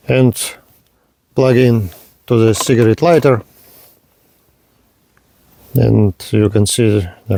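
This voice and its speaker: A man narrating a hands-on product demonstration in halting, simple step-by-step phrases. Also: medium pitch, creaky, dark tone, dry recording. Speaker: product reviewer.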